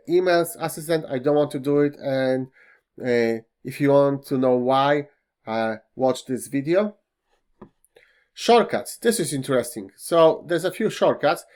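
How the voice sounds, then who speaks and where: A man whose first language is Polish walks through a web browser's features in a screen-recorded tutorial, pausing often with fillers and slightly non-native phrasing. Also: mid-range voice, a little mellow. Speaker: tech tutorial host. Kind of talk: screen-recorded software walkthrough.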